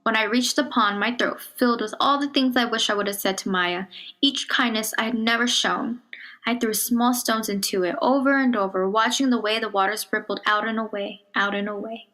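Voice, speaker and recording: A woman reads a children's picture book aloud in a measured, expressive storytelling manner, dropping to a hush on some phrases. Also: fairly high pitch. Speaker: storybook reader.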